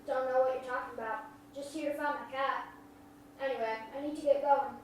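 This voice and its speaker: High-voiced earnest young boy played by a woman, echoey. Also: fairly even intonation, brisk, natural voice. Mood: evasive, defiant. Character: twelve-year-old boy Swift, earnest, evasive, brave. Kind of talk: staged reading of a play. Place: live staged reading in an echoey room. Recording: roomy, distant hall recording, faint noise.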